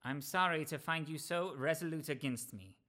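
A man playing a cheerful, warm-hearted young nephew in a radio play, speaking in a hearty, earnest, declamatory way. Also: fairly high pitch, normal speed. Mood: friendly, pleading. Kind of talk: theatrical radio play acting.

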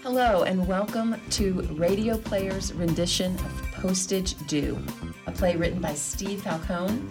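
A woman's voice: medium pitch, a little slow. A woman presenting a radio play with a scripted introduction. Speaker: presenter and cast member. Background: music.

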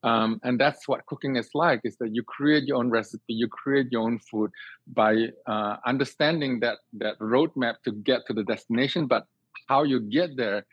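A man's voice: mid-range voice, normal speed, breathy edge, no room sound. A man from Singapore answering interview questions conversationally, earnest and expansive, often repeating and reworking his phrases as he thinks. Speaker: guest, cook and cooking teacher. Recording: dry, slightly dull band-limited sound.

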